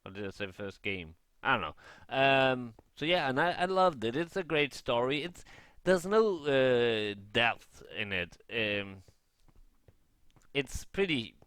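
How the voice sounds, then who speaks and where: Bright, low-pitched man vlogging casually. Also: clear, resonant tone, no echo. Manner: halting, often rephrasing and self-correcting. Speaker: gaming vlogger. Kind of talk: casual unscripted commentary over gameplay.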